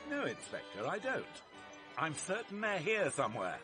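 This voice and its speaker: An actor playing a stubborn senior police officer, curt and authoritative, in a radio play. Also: male voice, fairly high pitch, huge pitch swings, moderate pace, over music. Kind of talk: vintage radio play acting.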